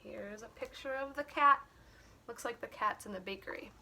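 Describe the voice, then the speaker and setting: A woman reading a story aloud to young children, expressive, with simple explanatory asides. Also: fairly high pitch, moderate pace, gravelly, slight background noise. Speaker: children's teacher and story reader.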